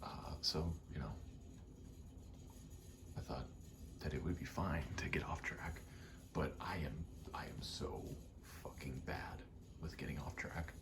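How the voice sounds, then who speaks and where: A man with a very deep, creaky voice rambles softly in an ASMR style, often slipping into a breathy whisper. He is casual, sweary and self-deprecating. A rattling vent adds clear noise behind him. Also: expressive, unhurried, pauses often. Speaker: video creator rambling to camera. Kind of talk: soft-spoken ASMR ramble.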